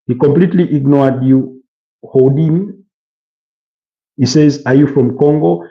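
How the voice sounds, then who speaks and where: A man's voice: on the high side, unhurried, gravelly, muffled recording. A man from Uganda hosting a football channel live stream, chatting and answering viewer comments with many fillers and restarts.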